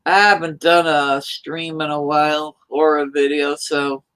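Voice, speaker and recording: A woman from New York chatting casually on a live stream, rambling in a loud, hyper way. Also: low voice, little pitch movement, measured pace, clear, resonant tone. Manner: rambling, hyper, self-described loud talker.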